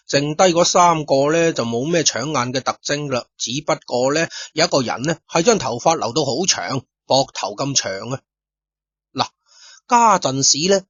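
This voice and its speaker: A man's voice: on the high side, slightly rough, no echo, dull audio. A man with a Cantonese accent narrating a story in colloquial radio-drama style, speaking directly to his listeners. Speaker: storyteller, host.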